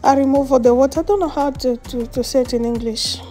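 A woman's voice: sits high, fast talker, warm tone, faint music behind. A woman who speaks English as her second language, chatting casually through a home cooking vlog, laughing often and searching for words. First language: French or Swahili. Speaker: cooking vlogger. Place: outdoors on a patio and in a home kitchen.

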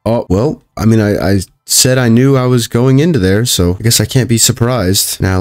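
A young man giving casual, joking commentary while playing a video game, with a calm voice and chatty asides to his viewers. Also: low voice. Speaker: gaming YouTuber.

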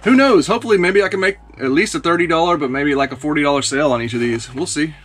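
A man in his forties casually talking through thrifted toys in a small shelf-lined room, relaxed and rambling with many fillers. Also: a little high, fast, breathy edge. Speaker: toy reseller vlogger. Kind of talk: casual haul video. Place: small indoor toy room lined with shelves.

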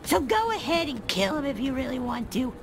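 A fed-up alien mom in a comedy game. Her high voice is blunt and deadpan, full of tired resignation. Music plays clearly behind her. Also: a little fast. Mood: weary resignation. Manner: deadpan, resigned, passive-aggressive. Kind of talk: comedic video game acting.

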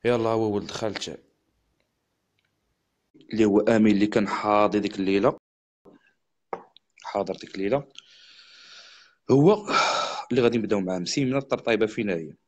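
Moroccan guy hosting a live, talks fast, lowish voice, chatty. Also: darkish tone. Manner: quick, chatty, calls out to viewers and guest. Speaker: livestream host. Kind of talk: casual live chat and storytelling.

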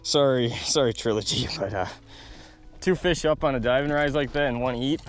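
Thirtysomething guy on a boat, hyped up fishing vlog, chatty, action cam mic out on a windy lake. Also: medium pitch. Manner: enthusiastic, chatty, quick bursts of excitement. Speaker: fishing channel host. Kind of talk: excited outdoor fishing vlog. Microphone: action camera on the boat.

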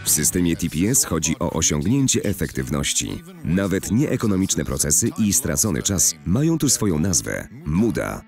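A man reading a scripted corporate narration in a measured, formal and articulate manner, recorded in a studio. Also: deep voice, darkish tone. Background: faint music.

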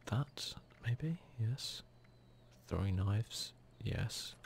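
A man giving soft, near-whispered ASMR commentary as he hosts a gaming video, in a casual, muttering, self-deprecating way. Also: fairly low pitch, lively intonation, very slow, creaky, a little hiss or hum.

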